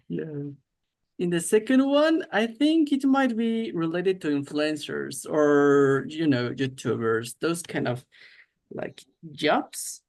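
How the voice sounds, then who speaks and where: A man's voice: a little high, lively intonation, takes it slowly, creaky. A young man from Mexico, a student answering oral exam questions in English with hesitant fillers.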